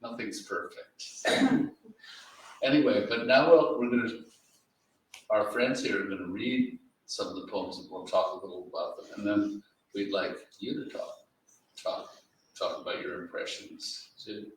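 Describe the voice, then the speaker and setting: A man moderating a live literary event with casual, halting remarks to the audience, often repeating words. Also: on the low side. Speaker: editor and moderator of a live reading. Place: large indoor library room at a live event. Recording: very echoey room, distant mic, faint noise.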